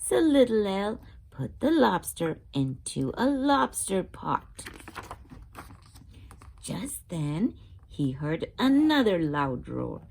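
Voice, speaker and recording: Brief: female children's read-aloud host, very slow delivery with lively, expressive intonation. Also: mid-range voice, vocal fry. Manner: expressive, asks listeners questions.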